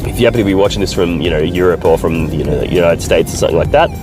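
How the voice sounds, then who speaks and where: A deep-voiced Australian man talks into his phone from the car, his voice nasal from a cold. He is chatty and upbeat and swears freely. Music plays behind him. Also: rapid-fire. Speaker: vlogger. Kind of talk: casual video diary. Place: car, driving home at night.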